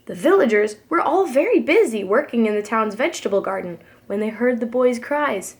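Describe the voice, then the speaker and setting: A woman's voice: on the high side, a little fast. A woman preschool teacher reading a storybook aloud expressively for young children. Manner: expressive, addresses young listeners.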